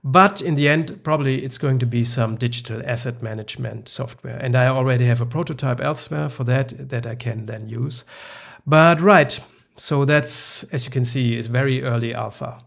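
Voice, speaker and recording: A man with a gravelly, creaky voice, German his first language, demos his software in a loose, thinking-aloud manner. He pauses often with fillers as he clicks through screens. His pitch sits in the middle for a man. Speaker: software developer. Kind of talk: screen-recorded app demo.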